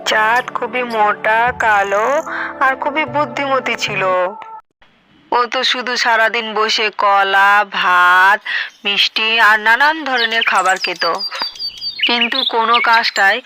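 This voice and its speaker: A woman's voice: a little high, takes it slowly, over music, rough recording. A woman narrator reading a scripted cartoon story.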